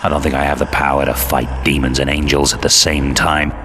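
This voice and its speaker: A man's voice: extremely low pitch, flat delivery, brisk, a bit bright, a little hiss or hum. An actor playing a jaded, cynical antihero who narrates his war in a world-weary, sardonic way for a game. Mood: bitter, cynical. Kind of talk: hardboiled scripted narration.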